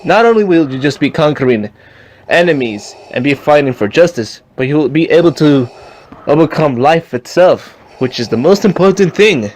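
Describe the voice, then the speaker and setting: A man voicing a patient, wise Jedi master who instructs pupils deliberately, with dramatic pauses, in an amateur fan story. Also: on the high side, expressive, a little mellow, slight background noise, lightly acted. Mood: instructive, encouraging.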